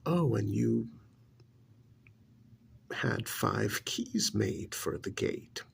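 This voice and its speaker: A man playing a haughty, irritated older billionaire uncle in an ASMR roleplay, gravelly and breathy, talking down in drawn-out, sarcastic phrases. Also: fairly low pitch, animated, slight background noise, exaggerated voice. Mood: bitter sarcasm. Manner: slow, condescending, drawn-out sarcasm with pauses.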